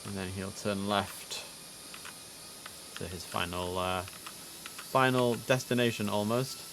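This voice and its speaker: A man with a fairly low voice gives casual live commentary as a game streamer. He talks in a chatty way, thinking out loud as he goes. Some hissy noise sits around his voice. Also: fairly even intonation, slow. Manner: chatty, musing aloud. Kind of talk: casual live gameplay commentary on an air traffic control simulator.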